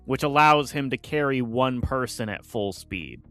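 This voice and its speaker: A man's voice: mid-range voice, animated, a little slow, fairly bright tone, no room sound, soft background music. A man playing a tabletop game, casually explaining how his character's powers work. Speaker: player.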